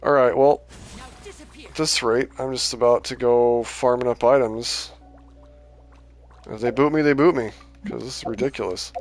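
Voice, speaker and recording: A man's voice: medium pitch, steady tone, normal speed, pauses often, faint music behind. A man giving casual, rambling gameplay commentary on a livestream, his voice starting to tire. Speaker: game livestreamer.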